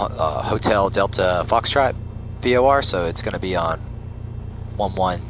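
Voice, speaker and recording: Male flight-sim host, procedural checklist narration and pilot calls, on the low side, some engine noise behind. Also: a bit bright. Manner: procedural, talks himself through checklists. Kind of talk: step-by-step instructional commentary with pilot radio calls. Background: simulated aircraft engine and cockpit sound.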